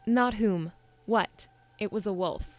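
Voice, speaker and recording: A woman's voice: medium pitch, slow, a little mellow, no room sound. A woman playing a headstrong, spirited young princess in a fantasy audio drama, earnest and pleading with a defiant streak. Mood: wry, weary.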